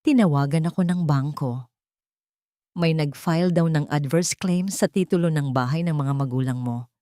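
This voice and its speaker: A woman's voice: low-pitched, moderate pace, dark tone, dry recording, studio quality, lightly acted. A woman voicing a hot-tempered, domineering husband in an audio story, confrontational and demanding. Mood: angry accusing.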